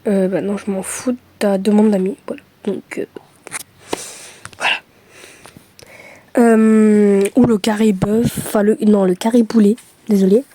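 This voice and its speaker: A woman's voice: medium pitch, steady tone, a little slow, pauses often, dark tone, slight background noise. A young woman from France chatting casually to her camera, hesitant with many fillers and self-mocking asides. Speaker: vlogger.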